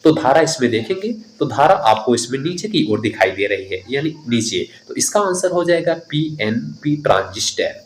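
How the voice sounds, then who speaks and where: A man's voice: medium pitch, sing-song, moderate pace, few pauses, a little hiss or hum, rough recording. A man giving an instructive exam coaching lesson, reading out questions and explaining the answers directly to students.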